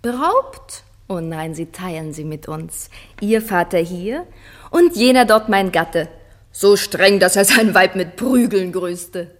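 An actress playing a tender, spirited young princess, earnest and expressive, in a classical radio drama. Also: female voice, mid-range voice, slow pace.

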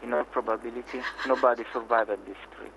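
An actor playing a formal, sympathetic Sicilian police officer in a radio drama. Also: male voice, mid-range voice, steady tone, fairly quick, vocal fry, a bit bright, highs cut off, slight background noise. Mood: grave, sympathetic. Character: apologetic male Sicilian police officer on the phone. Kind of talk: naturalistic radio drama acting.

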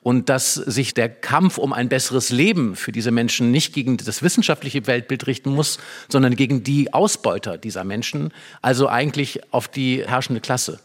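A man's voice: medium pitch, moderate pace. Man explaining a play in vivid detail.

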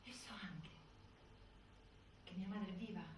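A woman's voice: medium pitch, unhurried, lightly acted. A woman acting a delusional, insistent prisoner in a stage monologue, recorded in an echoey hall. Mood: fixated, hushed. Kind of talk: stage monologue in documentary theatre. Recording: echoey hall, distant mic, faint noise.

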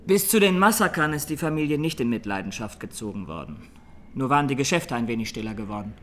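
An actor playing a haunted young Armenian defendant giving grave, deliberate testimony in a courtroom radio drama. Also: male voice, mid-range voice, big pitch swings, a little hiss or hum, lightly acted. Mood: subdued, matter-of-fact.

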